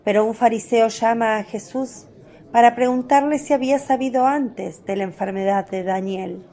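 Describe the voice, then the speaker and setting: A woman narrating a scripted religious radio drama in a measured storytelling manner. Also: fairly high pitch, mellow.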